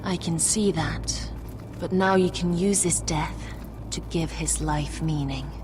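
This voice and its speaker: An actress playing a commanding woman leader of a forest stronghold, calm and persuasive as she urges. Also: low-pitched, takes it slowly, noisy background. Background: music.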